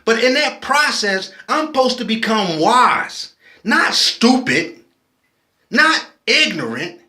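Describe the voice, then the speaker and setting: A late-forties man, talking in a very high, bright voice. He is impassioned and preachy, speaking slowly and often restarting his sentences. The sound carries a roomy echo. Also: very animated. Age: 40s. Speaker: vlogger. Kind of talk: personal video commentary.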